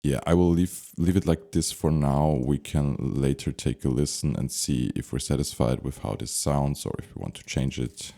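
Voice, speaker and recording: Very deep, creaky-voiced man teaching. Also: normal speed, few pauses, dark tone. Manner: thinking aloud, step by step. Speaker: tutorial instructor. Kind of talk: unscripted software tutorial narration.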